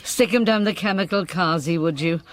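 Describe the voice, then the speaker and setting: A woman's voice: lowish voice, brisk, natural voice. An older woman with a Welsh accent playing a grand, boozy, self-pitying ageing showbiz diva in a radio comedy-drama, theatrical and haughty. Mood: stubborn, self-pitying. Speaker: actor in a radio play.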